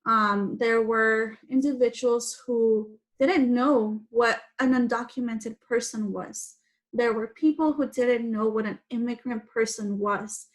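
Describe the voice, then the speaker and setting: A woman's voice: on the high side, fairly even intonation, slow pace. A woman from Guatemala whose first language is Spanish, telling her personal story to children in simple, explanatory phrases. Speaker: guest speaker telling personal story to schoolchildren.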